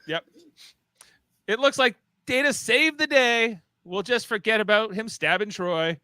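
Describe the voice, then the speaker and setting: A man's voice: sits high, a little slow, bright, forceful tone, no room sound, studio quality. A man narrating stage directions for a fan radio play in a casual, wry way.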